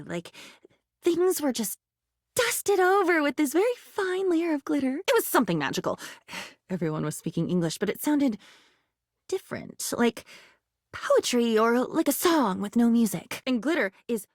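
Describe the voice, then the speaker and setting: Excitable, high-voiced living diary. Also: female voice, dry recording, clean studio sound. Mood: wistful, wondering. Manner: theatrical, rambling, swinging from hushed wonder to giddy exclamation. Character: excitable, wistful living diary, young woman's voice, neurotic and whimsical. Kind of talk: video game character acting.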